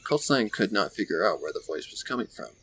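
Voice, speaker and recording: Male story narrator, fairly low voice, deliberate dramatic phrasing, faint noise behind. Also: very animated, fairly bright tone. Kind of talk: scripted fan fiction story narration. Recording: faint background noise with birdsong.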